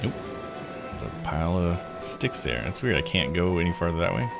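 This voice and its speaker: Male game streamer, deeper voice, very lively intonation, casual thinking-aloud delivery, music behind. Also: normal speed, vocal fry. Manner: thinks aloud, stumbles over unfamiliar words. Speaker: streamer playing and reading a visual novel. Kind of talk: casual live let's-play commentary mixed with read-aloud.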